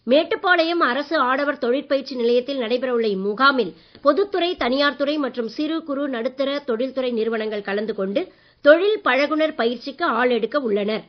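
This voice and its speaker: A woman newsreader reading a regional radio bulletin in a formal, measured way. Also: high voice. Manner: measured, even delivery. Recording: dull lo-fi radio broadcast.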